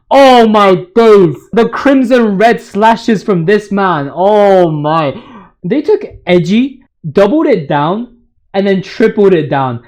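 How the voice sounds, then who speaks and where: A man hosting a gaming video, giving casual, slangy fan commentary in a hyperbolic, emphatic way. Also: sits high.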